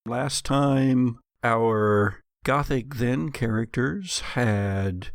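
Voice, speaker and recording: Slow-paced American man narrating gothic tales. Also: mid-range voice, dry recording, studio quality. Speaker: game master and narrator. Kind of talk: gothic tabletop game narration.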